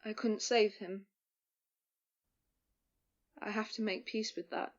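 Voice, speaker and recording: An actress playing a shaken but firm medical worker burdened by guilt, in a naturalistic audio drama. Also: female voice, mid-range voice, steady tone, slow, lo-fi sound. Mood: subdued, guarded.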